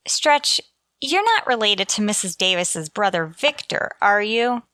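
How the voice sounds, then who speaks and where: A woman playing a wry, quick-witted schoolteacher in a radio comedy, with deadpan wisecracks. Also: medium pitch, natural voice. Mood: sardonic. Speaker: actor. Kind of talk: old-time radio comedy acting.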